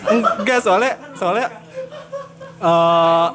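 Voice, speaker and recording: A young man from Purwakarta, Indonesia, co-hosting a casual joking chat, telling stories in slangy, animated, giggle-prone fashion. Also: high voice, very slow. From Purwakarta, West Java, Indonesia. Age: young adult. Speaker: podcast host.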